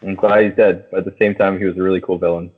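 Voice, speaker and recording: Young male podcast co-host, low voice, slangy and enthusiastic, phone-like sound with long reverb. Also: level tone, quick pace, slightly rough. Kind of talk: casual film discussion among friends.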